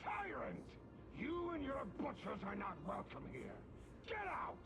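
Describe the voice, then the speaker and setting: Angry townsman, gravelly, swings all over, loud music behind. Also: very high-pitched, huge pitch swings, unhurried, heavily acted. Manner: hostile, shouting. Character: angry male townsman shouting at a tyrant.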